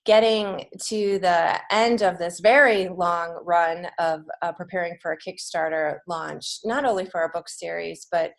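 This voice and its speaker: A woman's voice: fairly low pitch, steady tone, measured pace, creaky, few pauses. An American woman giving casual, encouraging answers as an author being interviewed on a podcast. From United States. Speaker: podcast guest, children's book author and creative writing teacher.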